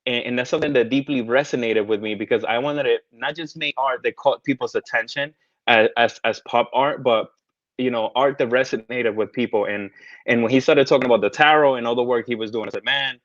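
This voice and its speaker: A man's voice: medium pitch, brisk, fairly bright tone, no room sound. Hesitant man, dry dull recording. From United States, raised partly in the Caribbean. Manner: hesitant, many restarts and fillers. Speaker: guest, tarot artist. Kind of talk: casual interview conversation.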